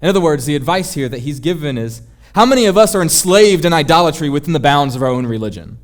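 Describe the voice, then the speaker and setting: A man's voice: on the high side, expressive, quick pace. A man preaching a sermon in an emphatic, rhetorical and confessional manner. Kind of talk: sermon, teaching.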